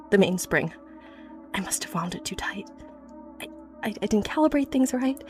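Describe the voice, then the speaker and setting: A woman's voice: medium pitch, natural voice. A woman playing an obsessive, haunted young inventor from a wealthy family in a horror audio drama, confiding hesitantly with phrases that drop to a whisper. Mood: shaken, halting. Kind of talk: horror audio drama acting, confessional monologue. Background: background music.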